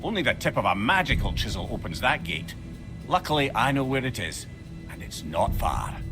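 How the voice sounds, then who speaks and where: A man's voice: medium pitch, big pitch swings, moderate pace, over music, lightly acted. An actor playing a talkative, wry, learned older man, a reanimated severed head in a video game, with a Scottish accent. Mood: explaining. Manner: chatty, rambling storyteller, wryly humorous. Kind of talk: video game character dialogue. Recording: clear background noise under game dialogue.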